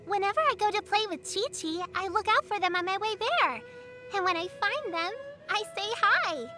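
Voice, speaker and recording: A woman's voice: very high-pitched, exaggerated voice. A woman voicing a sweet, earnest little girl in an anime game, caring, innocent and chatty. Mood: cheerful. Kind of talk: anime game character voicelines. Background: background music.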